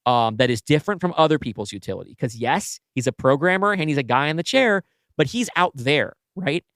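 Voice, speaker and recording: A man's voice: fairly high pitch, lively intonation, fairly quick, a bit bright, no room sound. Casual man podcasting, dry close sound. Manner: informal, frequent "like" and tag "right?". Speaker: podcast co-host. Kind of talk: casual brainstorming chat about a story.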